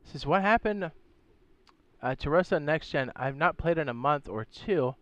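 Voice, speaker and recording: A man streaming a game, chatting casually and reading viewer comments, hoarse with a sore throat and losing his voice, often clearing his throat. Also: a little high, expressive, normal speed, a bit bright, no room sound. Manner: laid-back, slangy, frequent throat clearing.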